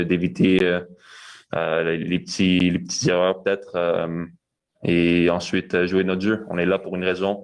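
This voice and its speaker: Give me a young man answering reporters, deep and even, stopping and restarting often. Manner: hesitant, many fillers and restarts. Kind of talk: press conference answers.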